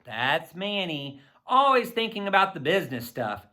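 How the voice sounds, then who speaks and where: A man narrates a children's audiobook with boyish expression. His voice is bright and forward, moving freely up and down as he tells the story in the first person. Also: high-pitched, very animated, moderate pace. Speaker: audiobook reader.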